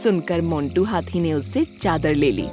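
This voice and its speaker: Animated woman narrating a children's story. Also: deep voice, normal speed. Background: background music.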